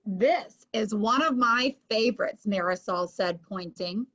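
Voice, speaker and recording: A woman's voice: mid-range voice, unhurried, fairly bright tone. A woman hosting a children's story show, reading aloud and chatting with exclamations to young listeners. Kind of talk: children's read-aloud with chat. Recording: muffled lo-fi audio.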